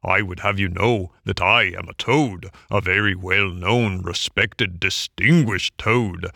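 A man's voice: sits low, a little slow, creaky, pushed and bright, no room sound, studio quality, exaggerated voice. A man voicing a conceited, hot-tempered male toad with a haughty, blustering manner in a storybook reading. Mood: furious, haughty. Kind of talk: audiobook character acting.

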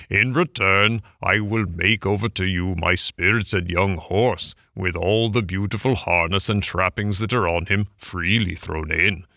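A man's voice: low voice, gravelly, sharp tone, no echo, clean studio sound, exaggerated voice. A man voicing a vain, scheming male toad who talks pompously and wheedles.

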